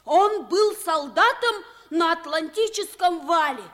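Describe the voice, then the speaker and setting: An actress playing an earnest, excitable and naive young boy in a 1950s radio drama. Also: very high voice, measured pace, exaggerated voice. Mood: indignant, eager.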